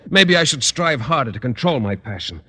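An actor in an old-time radio comedy playing an overwrought, lovestruck bodyguard who gushes with ardent passion. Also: male voice, medium pitch, animated, fairly quick, very noisy, lightly acted. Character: melodramatic, lovestruck suitor and bodyguard, gushing with passion. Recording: vintage radio comedy recording.

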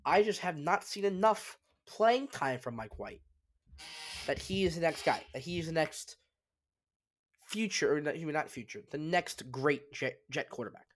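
A man's voice: a little high, lively intonation, unhurried. A man hosting a solo sports talk show, congested and sniffly, giving emphatic opinions with frequent restarts. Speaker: sports podcast host.

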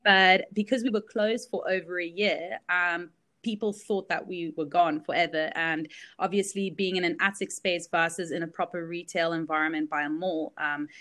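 A woman's voice: on the low side, fairly even intonation, moderate pace, sharp tone. A woman in her thirties, originally from Belgium, telling her story as an interview guest in a candid, chatty way with many fillers. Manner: candid storytelling with frequent fillers and light laughs. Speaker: guest, business founder. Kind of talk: casual interview chat.

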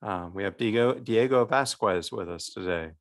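A man's voice: lowish voice, moderate pace. A man co-hosting a podcast as a parish priest, talking casually and rambling with restarts. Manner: rambling, many restarts and fillers.

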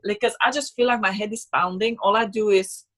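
A woman's voice: mid-range voice, steady tone, fairly quick, fairly bright tone. A young woman from Slovakia speaking English with a Slovak accent, chatting casually in an earnest, emphatic way and often repeating words. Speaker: guest, business owner. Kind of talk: casual personal conversation.

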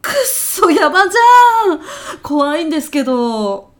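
A woman's voice: sits high, animated, normal speed, fairly bright tone. A woman playing a brash, chatty former gal in her early thirties who sells real estate, talking in casual slang in a comedy radio drama. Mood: flustered, dismayed. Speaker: actor in an audio comedy sketch.

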